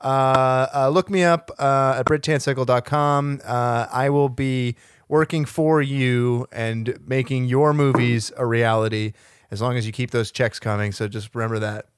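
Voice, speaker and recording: A man playing a money-minded, self-promoting talent agent in improvised comedy, with halting sales patter. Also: mid-range voice, fairly even intonation, clean tone, natural voice. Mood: slick, mercenary. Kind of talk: improvised comedy character talk.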